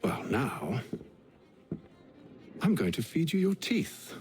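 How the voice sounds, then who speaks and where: An actor playing a composed, wry, educated English gentleman adventurer in a video game, with a British accent and a formal, dry manner. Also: fairly high pitch, big pitch swings, slow pace. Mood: wry, threatening. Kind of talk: video game cutscene acting.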